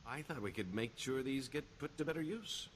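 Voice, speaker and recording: A man's voice: mid-range voice, lively intonation, brisk, slight background noise, natural voice. A man playing a roguish middle-aged career criminal in a video game, wry and boastful, with a wheedling, theatrical sarcasm.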